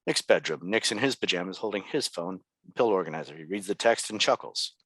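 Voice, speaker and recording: A man narrates stage directions from a script. His voice is on the low side. The sound is dry, with little room in it. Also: animated, fairly quick, fairly bright tone, slightly rough, dry recording.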